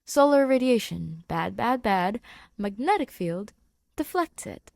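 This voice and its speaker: A woman voicing an eager, friendly human male science teacher, animated and encouraging, in a fan fiction audio reading. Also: on the high side, measured pace, vocal fry, dry recording, exaggerated voice. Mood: earnest, explaining. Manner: animated, encouraging classroom delivery.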